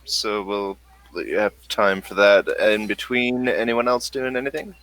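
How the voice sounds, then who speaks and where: A man running a tabletop game as game master, talking haltingly with many pauses and restarts. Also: lowish voice, steady tone, a bit bright.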